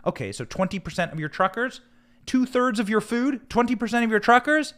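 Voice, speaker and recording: An American man hosting a live political commentary show, speaking emphatically and dramatically, with rhetorical questions and stressed, drawn-out words. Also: very high-pitched, expressive, fairly bright tone. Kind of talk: live political commentary with news read aloud.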